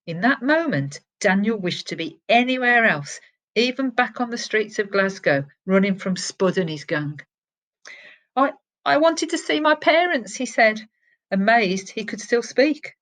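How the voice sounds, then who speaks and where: A woman, a teacher, reading a chapter of a children's novel aloud with expressive storytelling that drops to a hush at tense moments. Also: medium pitch, unhurried.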